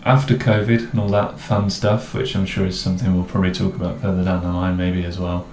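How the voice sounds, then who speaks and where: A young adult man from the English Midlands with a mixed, somewhat posh English accent, co-hosting a casual chat in a relaxed, rambling way with self-mocking jokes. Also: deep voice, a little fast. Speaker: co-host. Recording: echoey room with faint noise.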